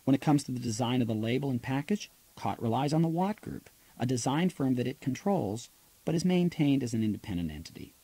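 Male audiobook narrator for business nonfiction: husky, relaxed tone, measured expository read. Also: medium pitch, no echo. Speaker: author reading own audiobook.

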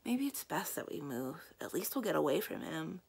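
A woman's voice: low-pitched, sing-song, normal speed, vocal fry, a little mellow, husky, heavily acted. A woman voicing a protective, feisty young boy in a storybook, plain-spoken and earnest. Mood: deflated. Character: protective, feisty young boy, big brother playing pirate.

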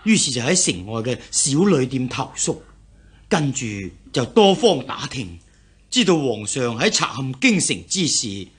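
An actor playing a loyal, anxious family servant with a hesitant, deferential manner in a Cantonese radio drama. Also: male voice, medium pitch, very animated, a little slow, fairly bright tone, breathy edge, exaggerated voice. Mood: grave. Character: loyal, anxious male family servant bringing grim news.

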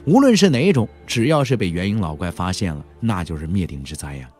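Male audiobook narrator: husky, dramatic storyteller, hugely varied intonation, conversational asides, light music underneath. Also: sits low, big pitch swings, fast, a little mellow. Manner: conversational storyteller with rhetorical questions and emphatic particles.

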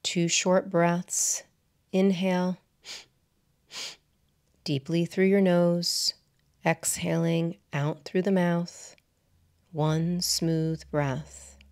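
A woman with a notably low voice narrates a guided meditation. Her delivery is very slow and measured, broken into short phrases with deliberate pauses. She sounds like a soothing yoga teacher settling listeners into rest. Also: level tone, a little mellow, smooth. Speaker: yoga nidra teacher and channel host.

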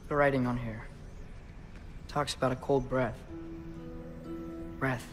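A young-sounding man playing an earnest, curious teenage boy adventurer in a video game, casual and eager, with very loud music behind him. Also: mid-range voice, little pitch movement, takes it slowly, lightly acted. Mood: thoughtful. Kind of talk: video game character acting.

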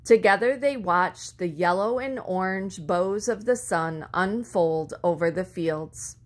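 A woman reading a children's story aloud expressively, with deliberate phrasing and words drawn out for effect. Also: lowish voice. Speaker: storybook reader.

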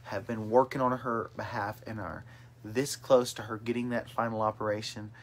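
A man's voice: on the low side, little pitch movement, normal speed. A man in his late twenties hosting a casual family livestream, reading out viewer comments in an upbeat way, filmed on a phone held at arm's length in a small bedroom. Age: 20s. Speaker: host of a family livestream chat. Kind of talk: casual chat. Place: small bedroom with brothers on a couch. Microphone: phone camera held at arm's length.

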